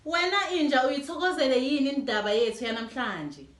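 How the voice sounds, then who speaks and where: Lady reading a kids book, super animated, bright voice, echoey room. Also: high-pitched, fairly quick. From South Africa. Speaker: children's story reader and host. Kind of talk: animated storybook read-aloud.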